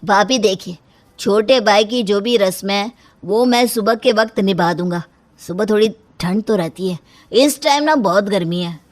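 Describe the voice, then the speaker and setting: A woman's voice: medium pitch, normal speed, close to an everyday voice. Woman playing a grumbling, stubborn uncle. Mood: reluctant. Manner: complaining, insistent. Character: stubborn, overheated male uncle grumbling comically.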